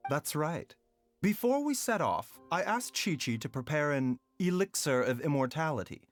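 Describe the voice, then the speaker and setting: An actor voicing a calm, enigmatic doctor in a video game, speaking in a polite, formal, measured way. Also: male voice, fairly high pitch, no echo, soft background music.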